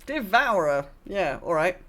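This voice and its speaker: A woman's voice: fairly low pitch, very animated, measured pace, a bit bright. A woman co-hosting a casual gaming podcast, speaking in short interjections and questions. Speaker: podcast co-host.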